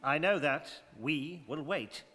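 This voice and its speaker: A man as an unflappable gentleman adventurer, forceful. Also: fairly high pitch, lively intonation, slow, slightly rough, exaggerated voice. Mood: firm patience. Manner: clipped, formal, imperturbable. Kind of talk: stage radio drama acting. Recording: reverberant hall.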